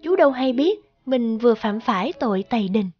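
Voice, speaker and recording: A woman giving scripted narration for an animated fairy tale. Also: a little high, fairly quick, lo-fi sound.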